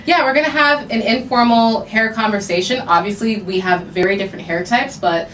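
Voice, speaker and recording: A woman's voice: fairly high pitch, a little fast, fairly bright tone. Female host, casual and chatty, distant roomy sound, faint background hum. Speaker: podcast host. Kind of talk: casual interview chat. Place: recording studio.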